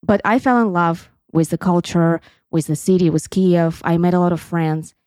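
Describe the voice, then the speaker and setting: A woman's voice: fairly low pitch, fairly even intonation, normal speed, mellow, no room sound, clean studio sound. A woman in her thirties from Crimea whose first language is Russian, telling a personal story on her podcast in an earnest, reflective way. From Crimea, Ukraine. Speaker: podcast host.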